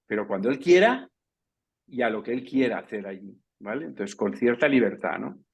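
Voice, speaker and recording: A man's voice: medium pitch, sing-song, normal speed, breathy edge. A man speaking as a panelist in a professional round-table discussion, talking in a loose, discursive way and often checking in with the listener. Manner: discursive, tags points with "¿no?" and "¿vale?".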